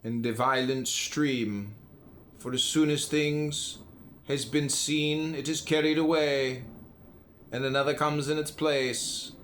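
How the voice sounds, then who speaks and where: A man with a mid-pitched voice reads philosophical prose aloud. His phrasing is deliberate and emphatic, set apart by pauses, in the manner of an audiobook narrator. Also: steady tone, fairly bright tone, slight background noise. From United States. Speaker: audiobook reader.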